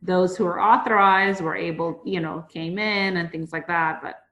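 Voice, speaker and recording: A woman's voice: on the low side, fairly even intonation, normal speed, fairly bright tone. A woman explaining points conversationally in a panel discussion, often checking with a tag question. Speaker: consultant discussing a standard. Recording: roomy, echoing sound.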